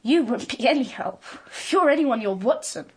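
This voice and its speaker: A woman's voice: fairly high pitch, expressive, moderate pace, breathy edge. A woman playing a precocious, bossy young girl in a radio drama, blunt, smug and taunting. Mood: bossy, knowing.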